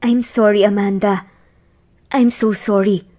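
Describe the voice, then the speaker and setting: A woman voicing a gentle, remorseful man pleading earnestly with his former girlfriend in a romance audio story. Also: mid-range voice, steady tone, takes it slowly.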